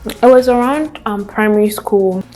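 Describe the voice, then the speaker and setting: A young woman, a native Hausa speaker, chatting casually to camera in a vlog, pausing to hum and think aloud while eating a meal. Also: medium pitch, warm tone, soft background music, poor audio. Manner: chatty, thinks aloud with hums and trailing asides. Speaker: vlogger. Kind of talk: casual chat.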